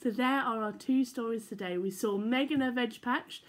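Young woman, fairly high voice, storyteller for kids, animated read-aloud at home on a laptop camera. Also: moderate pace. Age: young adult. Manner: animated, playful read-aloud with sound effects. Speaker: church children's storyteller. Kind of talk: reading picture books aloud to children. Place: living room at home. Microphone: laptop or phone camera at arm's length.

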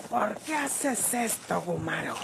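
A fiery, distrustful country woman with a rural northern Mexican accent. Her pitch is average for a woman. It comes from an old radio melodrama with clear background noise. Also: expressive, slow. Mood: accusing. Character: fiery, suspicious, sharp-tongued rural woman. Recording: noisy old radio serial recording.

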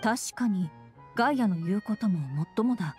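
A woman's voice: medium pitch, lively intonation, normal speed, darkish tone, faint music behind, lightly acted. A woman voicing a dutiful young female knight commander in an anime game, formal, composed and polite. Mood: composed, concerned. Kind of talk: Japanese anime game voice acting.

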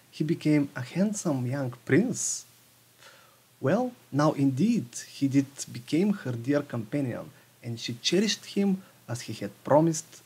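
A man reading a fairy tale aloud for children as a storyteller, halting at times and rereading words. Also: mid-range voice, darkish tone, breathy edge.

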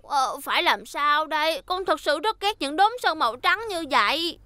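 Very high, bubbly little cartoon character. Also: female voice, normal speed, dry recording, studio quality. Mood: bothered, pleading. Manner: bubbly, expressive, childlike. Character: cheerful, very high-voiced young cartoon vehicle character. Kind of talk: dubbed children's cartoon acting. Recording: music behind the voice.

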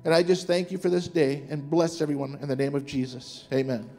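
A man's voice: fairly high pitch, level tone, a little fast, over loud music. A man leading a fervent spoken prayer aloud in church, repeating "Lord" between phrases.

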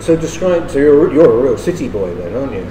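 A man's voice: mid-range voice, very animated, quick pace. Male interviewer, off-mic and echoey, chatty prompts, noisy café. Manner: prompting, conversational. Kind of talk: interview questions. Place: café indoors. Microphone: off-camera, away from the mic. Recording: distant, echoey room with background noise.

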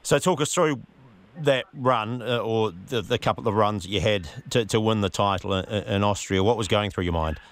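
A man's voice: fairly low pitch, moderate pace, no echo. A man interviewing as a radio sports editor, asking questions with small stumbles and restarts. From New Zealand.

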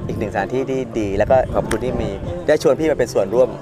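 A middle-aged man, a guest musician, chatting quickly and politely on camera outdoors at a campsite at night. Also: mid-range voice. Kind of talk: casual on-camera chat. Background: background music.

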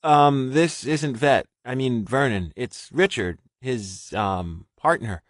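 A man voicing a nervous young man who talks in a rushed, frantic way and stammers. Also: fairly low pitch, dry recording, studio quality, lightly acted.

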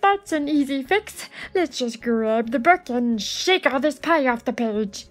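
A woman's voice: high voice, lively intonation, a little slow, faint music behind. A woman voicing a friendly, enthusiastic space alien who patiently explains math in a picture book read-aloud. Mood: upbeat, explaining.

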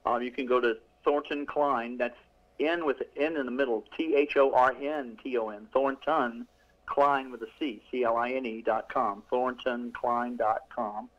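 A man's voice: mid-range voice, fairly even intonation, moderate pace, dull audio. A middle-aged male author talking casually in an interview, rambling with many restarts and fillers. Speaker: author guest.

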